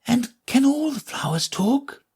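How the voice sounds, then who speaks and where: A man voicing a polite, curious young girl in a storybook reading, with a husky, higher voice. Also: big pitch swings, a little slow, a little mellow, heavily acted. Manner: timid, polite, wondering.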